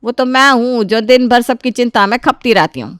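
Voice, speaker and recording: A woman's voice: fairly high pitch, animated, normal speed, fairly bright tone, clear, resonant tone, no echo, clean studio sound. A woman voicing a bossy, scolding, self-important mother-in-law in her sixties for an audio family story. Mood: indignant. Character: bossy nagging old mother-in-law, aged sixty-five.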